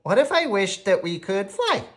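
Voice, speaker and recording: Woman reading as an eager, lively young boy. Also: mid-range voice, moderate pace, voice pushed up, lightly acted. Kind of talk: acted children's storybook reading.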